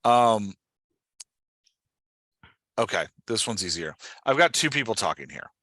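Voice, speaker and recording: Bright-voiced man coaching, close and dry. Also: on the low side, big pitch swings, unhurried, dry recording. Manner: direct, instructive, often checks understanding. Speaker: podcast host coaching an author on dialogue. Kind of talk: casual one-on-one coaching talk.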